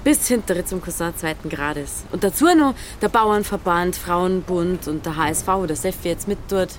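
An actress with a Bavarian accent playing a sly, good-humoured village matchmaker in a dialect radio play. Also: female voice, fairly low pitch, moderate pace, slight background noise, close to an everyday voice. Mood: sly, amused.

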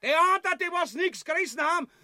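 A man's voice: very high-pitched, lively intonation, fast talker, bright, forceful tone, no room sound, studio quality, full character voice. An actor playing a greedy, cowardly usurper king in a grotesque comedy radio play, declaiming and bellowing in an Austrian accent. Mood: bombastic.

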